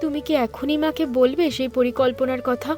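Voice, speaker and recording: A woman's voice: high voice, fairly even intonation, brisk, a little mellow, soft background music, lightly acted. A woman voicing a concerned, polite young daughter-in-law in a cartoon story, caring and inquisitive. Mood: gently curious.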